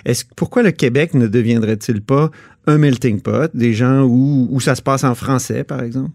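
A man's voice: medium pitch, moderate pace, creaky, a little mellow, dry recording, studio quality. A man with a Quebec French accent hosting a radio interview, probing with questions and cutting in. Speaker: radio host.

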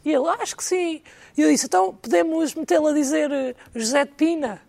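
A woman on a comedy panel, telling an anecdote with lively acted-out dialogue. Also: high voice, a little fast, gravelly. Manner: animated storyteller who acts out dialogue. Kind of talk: casual comedy panel chat.

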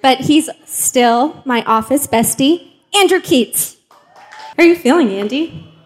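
Female panel host from Mexico, slightly higher-pitched voice, informal and chatty delivery for a live conversation. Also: a little slow, breathy edge, some chatter behind. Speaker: host and journalist. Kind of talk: casual live panel hosting.

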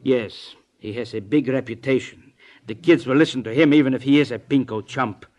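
A man's voice: mid-range voice, darkish tone, close to an everyday voice. Man playing a stern Soviet spymaster, vintage radio drama. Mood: stern, instructing. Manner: commanding, deliberate, condescending. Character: stern, menacing male Soviet agent and party boss. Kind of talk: 1950s radio drama acting. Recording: vintage 1950s radio recording.

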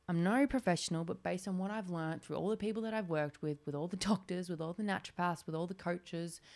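Chatty young woman, low voice. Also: a little mellow. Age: 20s. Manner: chatty, rambling, many "like"s. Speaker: podcast host. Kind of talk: solo podcast chat.

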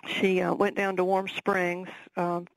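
A woman's voice: lowish voice, level tone, measured pace, poor audio. A woman with a Southern accent, an author speaking as a radio interview guest, thoughtful and full of fillers and restarts.

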